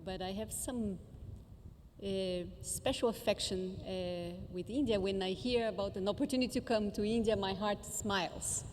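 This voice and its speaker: A woman's voice: mid-range voice, moderate pace. A woman from Brazil with a Brazilian accent, giving an earnest, reflective conference talk with hesitant restarts. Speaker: conference speaker. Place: large conference hall. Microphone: handheld microphone. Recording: reverberant hall, faint background noise.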